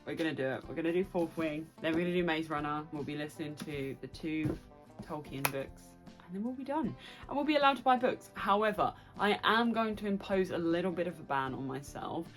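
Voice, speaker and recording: A young British woman chatting casually and excitably to her viewers as a book vlogger. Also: low voice, fairly quick, faint music behind. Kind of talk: casual chatty vlog to camera.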